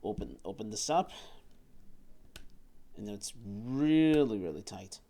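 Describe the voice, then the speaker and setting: A man reviewing and demonstrating a toy at a table indoors, talking haltingly and often repeating words and phrases. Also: fairly low pitch, animated, creaky, mellow.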